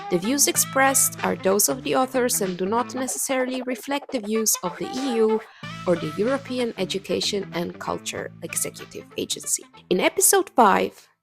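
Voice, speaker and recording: A woman's voice: medium pitch, gravelly. A woman hosting a podcast, reading a scripted introduction with a lift on key phrases. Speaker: podcast host.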